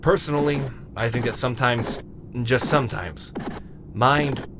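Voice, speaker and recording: A man's voice: medium pitch, measured pace, background noise, lightly acted. A man voicing an earnest, eager robot medic in an audio drama, chatty and explanatory. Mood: grave.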